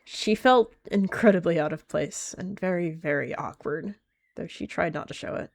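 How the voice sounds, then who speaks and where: A woman's voice: on the low side, moderate pace, vocal fry, no echo, clean studio sound. A woman narrating a cat roleplay story in a casual storytelling way.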